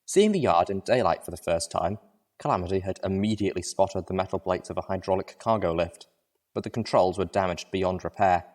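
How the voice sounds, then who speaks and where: Low-voiced man narrating a story. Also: a little fast, darkish tone. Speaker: narrator reading fan fiction aloud. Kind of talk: dramatic first-person story narration.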